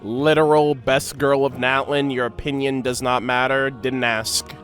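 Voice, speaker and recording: A woman's voice: very deep voice, little pitch movement, unhurried. A woman giving casual, effusive live reaction commentary as a game streamer, slangy and playfully emphatic. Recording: background music.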